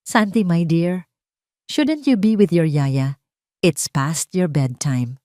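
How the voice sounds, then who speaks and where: A woman's voice: on the low side, slow pace, dark tone, no echo, studio quality. A woman voicing a cold, falsely sweet, dismissive rich stepmother in an audio storybook drama.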